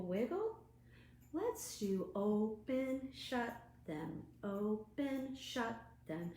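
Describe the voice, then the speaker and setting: A woman children's librarian reading aloud to young children in a deliberate, expressive, sing-song way. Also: a little high, a little hiss or hum. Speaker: children's librarian hosting storytime. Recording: roomy, echoing room sound.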